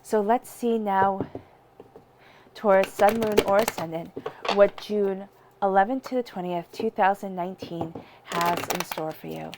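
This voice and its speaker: Woman tarot reader with a head cold, congested and nasal-sounding, chatty direct-to-camera delivery, middle pitch. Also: steady tone, a little slow, noisy background. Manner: chatty, speaks straight to viewers.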